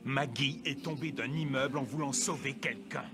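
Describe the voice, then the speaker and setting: A man's voice: mid-range voice, fairly even intonation, moderate pace, loud music behind the voice, lightly acted. An actor dubbing a desperate young man trapped in the afterlife, speaking in an earnest, pleading tone over a film score. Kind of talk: dubbed film dialogue.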